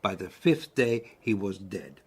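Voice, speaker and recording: Husky, formal doctor. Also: male voice, deep voice, lively intonation, heavily acted. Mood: grave, courteous. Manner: formal, measured. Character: grave, courteous Italian doctor recalling a death. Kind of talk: radio drama acting.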